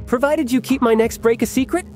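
A man's voice: very high-pitched, brisk, a little mellow. A man playing a polite, wry robot henchman in a comic dub, matter-of-fact in manner. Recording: music behind the voice.

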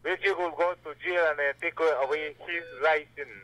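Belizean man calling in, bright forceful voice. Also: a little high, fairly even intonation, moderate pace. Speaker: radio show caller. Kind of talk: brief answers on a phone-in. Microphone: telephone call.

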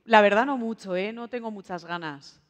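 A woman's voice: medium pitch, moderate pace, big-room sound. Chatty young woman from Spain. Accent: Spanish, from Spain. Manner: relaxed, chatty, self-mocking. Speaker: journalist, guest. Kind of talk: talk show interview. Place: TV studio set.

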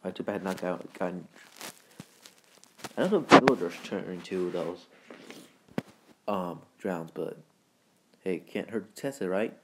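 A man hosting a gaming video with casual, rambling, halting commentary in informal words. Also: low-pitched, noisy background. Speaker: gaming video creator and host.

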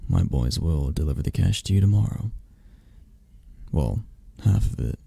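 Casting: male actor as a domineering mafia boss for ASMR roleplay, dark husky voice, flirty and patronizing. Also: low-pitched, animated, normal speed, no echo, heavily acted. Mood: impatient, bargaining. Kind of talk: intimate ASMR roleplay acting.

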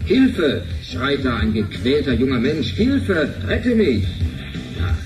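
A theatrical oldies radio host in a sci-fi play. He is gushing and lively, sounding a little distant with prominent music behind him. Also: medium pitch, slow pace. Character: smooth-talking, theatrical male oldies radio presenter. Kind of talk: radio presenting in sci-fi drama.

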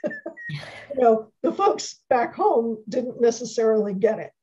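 Middle aged lady host, slow talker, casual interview, kinda muffled far from the mic on a video call. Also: a little high, big pitch swings, mellow, slight background noise. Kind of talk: casual interview with short affirmations.

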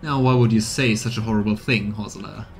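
A man's voice: fairly low pitch, fairly even intonation, a little fast, faint music behind, close to an everyday voice. A man voicing a mocking, laid-back dwarf assassin from a fantasy game in a teasing way. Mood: mock hurt. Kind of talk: voiced reading of game dialogue.

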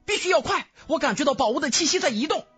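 A man's voice: very high voice, animated, quick pace, a bit bright, dry recording, soft background music, voice pushed up. A man voicing a spiteful, scheming young woman cultivator in an audiobook. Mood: urgent, excited. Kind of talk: audiobook character voice.

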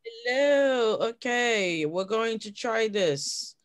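A woman from Haiti, slowly and thoughtfully presenting her photos on a video call, with frequent pauses and fillers. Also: on the high side, animated, clean tone. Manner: slow, thoughtful, hesitant with fillers. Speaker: workshop participant.

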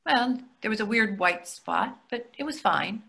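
A woman's voice: medium pitch, animated, a little slow, creaky, sharp tone, heavily acted. An older woman playing an anxious, needling therapist and wife, hesitant and defensive, in a play read over a video call. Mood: evasive.

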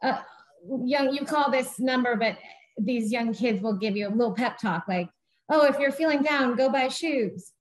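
A woman's voice: on the high side, normal speed, poor audio. A woman in her forties, a winery guest, chatting casually on a video call with lots of fillers. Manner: chatty, many fillers and restarts, laughs easily. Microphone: video call webcam.

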